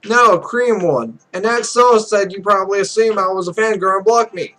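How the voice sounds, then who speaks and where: A man voicing a dry, businesslike esports recruiter in a visual novel, measured and evasive. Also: high-pitched, a bit bright. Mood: explaining.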